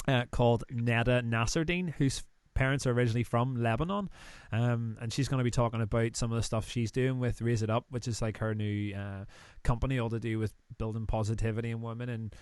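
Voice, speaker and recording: A man's voice: medium pitch, fairly even intonation, fairly quick, darkish tone, smooth, no room sound. Chatty Northern Irish man in his thirties. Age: 30s. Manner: chatty, joking, rambling asides. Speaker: host. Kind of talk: casual live-stream interview chat.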